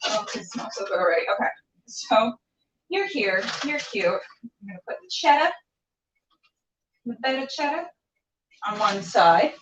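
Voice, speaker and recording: A woman's voice: fairly high pitch, sing-song, normal speed, pauses often, noisy background. A woman chatting casually and playfully to camera as a cooking show host, rambling and talking to herself as she goes. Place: indoors while cooking, live stream. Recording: roomy, distant, dull camera sound.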